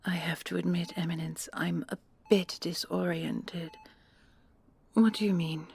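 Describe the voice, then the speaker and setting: A woman playing a shaken, deferential commandant in an audio drama, halting, formal and apologetic, in a breathy, husky voice. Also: on the low side, fairly even intonation, darkish tone, full character voice. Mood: disoriented, wary. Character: shaken, deferential female military commandant under interrogation. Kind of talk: audio drama roleplay acting.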